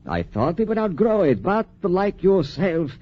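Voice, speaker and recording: Fussy, animated old-radio doctor. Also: male voice, high-pitched, measured pace, heavily acted. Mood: skeptical explaining. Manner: hesitant, exclamatory, avuncular scolding. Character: fussy, disapproving male French physician, scornful of risk-takers. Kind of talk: 1940s radio drama acting. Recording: muffled vintage 1940s radio recording.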